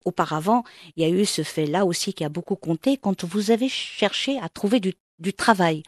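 A woman host reading a scripted introduction and asking interview questions in an expressive presenter manner. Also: fairly low pitch, a little fast, no echo, studio quality. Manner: lively, expressive presenter delivery.

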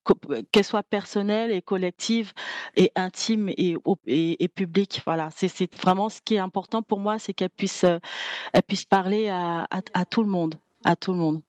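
A woman's voice: medium pitch, normal speed, a little mellow, no echo. A woman singer from Cameroon answering interview questions, hesitant and searching for words, often restarting and repeating herself. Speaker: singer and balafon player.

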